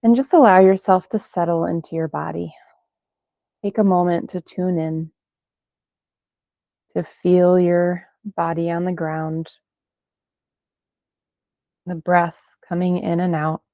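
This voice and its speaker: A thin, phone-like recording of a woman guiding a meditation. Her voice is slightly low and stays very even. She speaks gently in unhurried phrases, leaving long pauses. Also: no room sound. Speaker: meditation guide. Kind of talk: guided meditation. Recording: band-limited, phone-like sound, dry.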